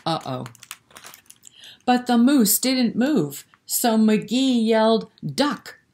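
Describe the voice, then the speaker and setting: A woman reading a picture book aloud to children in an expressive way, pausing to explain and prompt her young listeners. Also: fairly high pitch. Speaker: children's storyteller at a library.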